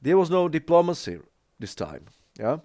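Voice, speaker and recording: A man who is not a native English speaker, giving casual reaction commentary in a halting way, often searching for words and restarting. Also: a little high, very animated, mellow, no echo, clean studio sound. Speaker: reaction video creator.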